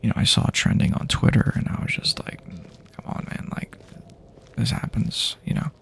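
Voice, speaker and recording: A man playing a wary but hopeful, laid-back apocalypse survivor in a hushed, halting, rambling, partly whispered ASMR roleplay. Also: natural voice. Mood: reflective, hesitant. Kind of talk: improvised ASMR roleplay, soft-spoken.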